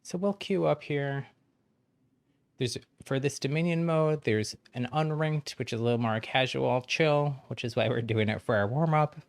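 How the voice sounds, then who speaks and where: A man's voice: medium pitch, normal speed, gravelly, clean tone, dry recording. A man chatting casually on a game livestream, explaining things to newcomers in a friendly, encouraging way with frequent chuckles. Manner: chuckles often while talking. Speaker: game streamer.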